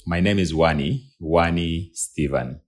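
Very deep-voiced man from South Sudan. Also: faint music behind. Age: young adult. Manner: halting, many restarts and fillers. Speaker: guest, tech and IP lawyer. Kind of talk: personal storytelling in an interview.

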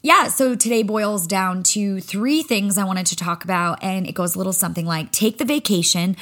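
A woman's voice: medium pitch, moderate pace, a bit bright. A young adult woman, a spin instructor, talking candidly and casually on her solo podcast, swearing freely and acting out her own thoughts.

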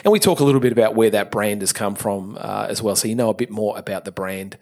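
Measured male podcast host. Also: fairly low pitch, darkish tone. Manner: measured, deliberate, lays out his points in long lists. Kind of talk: hosting an industry interview podcast.

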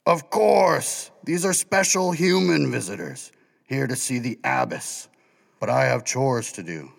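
A man voicing a gruff but kindly animal guide who teases warmly. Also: medium pitch, animated, a little slow, big-room sound, exaggerated voice. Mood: teasing.

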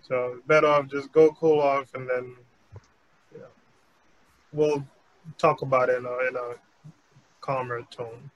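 A man in a casual group chat who speaks calmly and in a measured way, trailing off softly. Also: mid-range voice, no echo, muffled recording.

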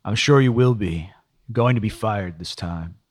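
A man's voice: on the low side, moderate pace, natural voice. Man acting an exasperated, berating police detective. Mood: stern resignation. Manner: profane, berating, forceful rhetorical questions. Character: exasperated, angry male police detective, scolding and resigned.

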